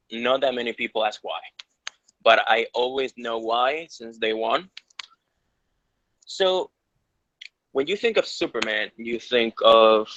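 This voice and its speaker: A man's voice: mid-range voice, sharp tone, no echo. A man from Ecuador, earnest and rambling, answering questions in a casual interview. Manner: earnest, rambling, lots of restarts. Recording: dull lo-fi sound.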